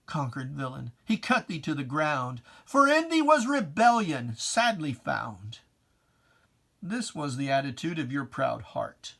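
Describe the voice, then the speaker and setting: Solemn reader doing dramatic religious poetry, really slow and drawn out, theatrical. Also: medium pitch, big pitch swings, vocal fry, a bit bright. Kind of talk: dramatic recitation of religious verse.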